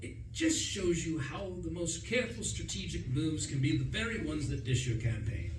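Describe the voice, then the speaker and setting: A man's voice: a little high, lively intonation, moderate pace, close to an everyday voice. Guy playing a chatty foppish young english gent, self-important radio play storyteller, bit far from the mic, noisy recording. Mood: flustered, chatty. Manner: chatty, pompous storytelling with old-fashioned slang. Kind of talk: narrated radio comedy performed on stage. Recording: echoey room, distant mic, noisy.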